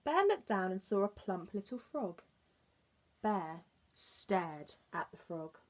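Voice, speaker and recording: A woman's voice: mid-range voice, very animated, slow pace. A woman teacher reading a children's storybook expressively, adding explanatory asides for young listeners. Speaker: early years teacher reading aloud.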